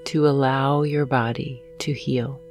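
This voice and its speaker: A woman narrating a guided hypnosis meditation in a soothing, gentle manner, leaving long pauses between phrases. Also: rumbling low, steady tone. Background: soft meditation music with singing bowls.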